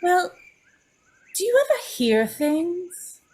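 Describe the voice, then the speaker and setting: Woman performing, troubled young heroine, old-time radio melodrama, very high pitch, emotional, hesitant, dramatic swings. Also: big pitch swings, takes it slowly, darkish tone, full character voice. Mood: anxious. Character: distraught young condemned woman confessing to dead mother.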